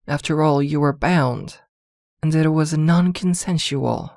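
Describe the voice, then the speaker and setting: A possessive witch girlfriend, played by a woman in an intimate ASMR fantasy drama. Her voice sits a little low and moves slowly, with hesitant pauses. She is protective, doting and jealous, recorded very dry and close. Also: gravelly, darkish tone, dry recording, full character voice. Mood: tense, coaxing.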